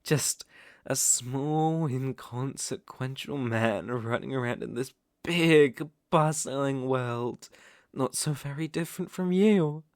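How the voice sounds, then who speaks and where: A man's voice: mid-range voice, takes it slowly, dry recording, clean studio sound. A British man playing a suave, possessive vampire count in an intimate ASMR roleplay, teasing and commanding in theatrical, old-fashioned phrasing. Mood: brooding, sinister.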